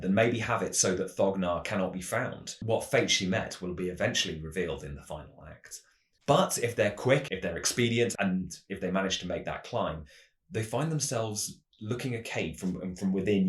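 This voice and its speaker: A man narrating a fantasy tabletop adventure tutorial as a game master, with measured, dramatic storytelling phrasing. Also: deep voice, roomy sound. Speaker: host, game master giving adventure advice.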